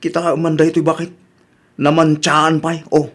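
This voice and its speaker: An actor with an Ilocano accent playing a grumbling husband from a poor village household in a radio comedy drama. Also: male voice, on the high side, completely flat delivery, moderate pace, lightly acted. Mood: irritated.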